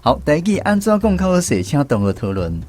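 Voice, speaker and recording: A man's voice: fairly high pitch, sing-song, fairly quick, darkish tone, faint music behind. A man with a Taiwanese accent hosting an educational language quiz on the radio. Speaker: radio host of a language segment.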